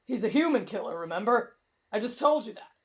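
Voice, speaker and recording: A woman's voice: fairly high pitch, lively intonation, a little fast, pitched below the performer's own voice, close to an everyday voice. A woman voicing a brash, cocky young man with a morbid streak and a blunt, boastful manner in an anime fan audio roleplay. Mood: blunt, mocking.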